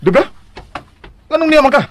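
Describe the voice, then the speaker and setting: An actor playing a devoted but firm husband in an expressive, melodramatic radio drama style. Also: male voice, extremely high pitch, moderate pace, a little hiss or hum. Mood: annoyed, defiant. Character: devoted husband, affectionate at home, firm with rivals.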